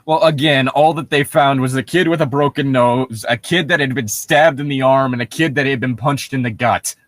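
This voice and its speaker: A man's voice: mid-range voice, moderate pace, a bit bright, no room sound. A man co-hosting a comedy read-aloud, talking casually with sarcastic, sweary commentary. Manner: sarcastic, foul-mouthed. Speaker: podcast co-host reading horror fiction aloud.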